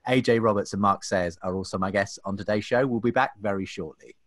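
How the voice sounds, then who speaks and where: A man in his thirties hosts a homemade talk show from his living room, speaking into a desk microphone. His voice sits a little low, and the sound is close and dry. He talks in a chatty way, full of fillers and restarts. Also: brisk, dry recording. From England. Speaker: host. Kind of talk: casual podcast hosting. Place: home room. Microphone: desk microphone, webcam.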